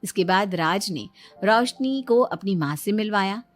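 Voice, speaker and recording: A woman's voice: mid-range voice, animated, unhurried. A woman reading a scripted moral story as its narrator. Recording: music bed under the voice. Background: background music.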